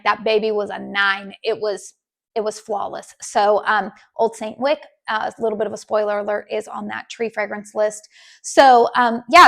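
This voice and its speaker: Raspy woman chatting about candles. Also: fairly high pitch. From United States. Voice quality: raspy, losing her voice. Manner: chatty, many ums and restarts. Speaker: candle channel host. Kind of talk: casual chat to camera.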